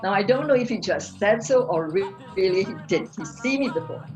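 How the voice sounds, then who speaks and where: An older woman with a Trinidadian accent, telling her life story in an interview, in a reflective, unhurried way. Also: mid-range voice, lively intonation, over music. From Trinidad and Tobago.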